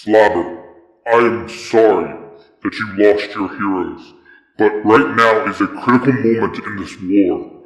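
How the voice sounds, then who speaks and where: A man playing a solemn, commanding disembodied mentor of heroes in an audio fan fiction, grave and measured. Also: fairly low pitch, lightly acted. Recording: echoing, reverberant voice effect.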